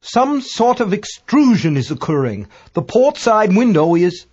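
A man's voice: high-pitched, big pitch swings, unhurried, a little mellow, slightly rough, lo-fi sound, voice pushed up, full character voice. A man voicing a pompous, rattled amateur astronomer who speaks in stiff, pedantic, self-correcting understatement for a story. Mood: alarmed reporting.

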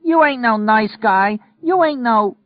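A man voicing a strident young woman from the Bronx with a New York accent, shrill and whiny, in a slightly dull lo-fi recording. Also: very animated, brisk, dry recording. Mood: screaming, tearful.

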